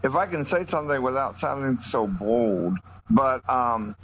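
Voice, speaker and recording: A man talks casually as part of a group. His pitch is average and his manner is polite and somewhat tentative. There is faint noise in the recording. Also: animated, a little slow, a bit bright, low-quality recording. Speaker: coffee club participant who makes online videos. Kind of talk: casual chat.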